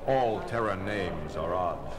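A man playing a logical, unemotional alien first officer in a science fiction audio drama, deadpan, precise and formal. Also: low-pitched, takes it slowly, a crowd in the background. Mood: coolly puzzled. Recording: loud noisy ship ambience.

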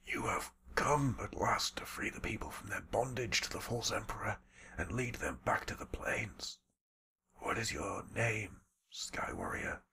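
A man voicing an old male shaman who speaks in a breathy whisper. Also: on the low side, expressive, measured pace, pushed and bright, pitched above the performer's own voice, full character voice. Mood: awed. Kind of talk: audiobook character voice.

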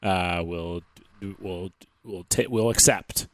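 Dry-voiced man joking deadpan. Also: low voice, slow pace, dry recording. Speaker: podcast co-host. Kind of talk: casual comedic banter.

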